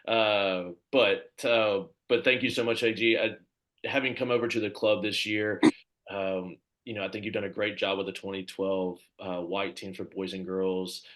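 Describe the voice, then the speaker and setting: A man's voice: on the low side, level tone, normal speed. A man hosting a casual video call between youth soccer coaches, chatty and full of fillers, thanking each guest in turn. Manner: chatty, lots of fillers, warmly thanks others. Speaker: club coach hosting the coach introductions.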